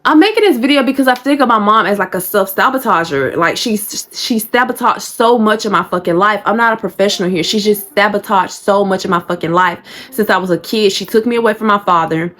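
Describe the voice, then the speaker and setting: A woman's voice: medium pitch, brisk, few pauses, a bit bright. A woman in her thirties telling a painful personal story in a blunt, emotional way, swearing freely. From Georgia, USA. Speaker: vlogger.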